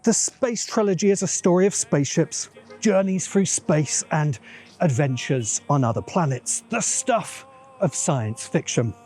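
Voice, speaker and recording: A man's voice: a little high, expressive, measured pace, darkish tone. A man hosting a science fiction channel, giving a scripted video essay narration in a dramatic, musing way with dry asides.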